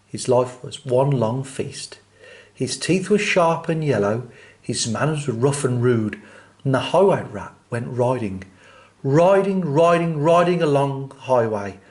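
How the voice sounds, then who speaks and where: A man's voice: mid-range voice, darkish tone. A man presenting and reading storybooks expressively for children.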